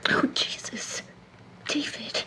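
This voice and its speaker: Woman whispering slowly. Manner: whispering, startled.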